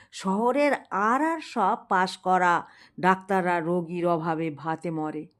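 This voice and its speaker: A woman reading a humorous folk story aloud in a measured, deliberate, expressive way. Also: lowish voice.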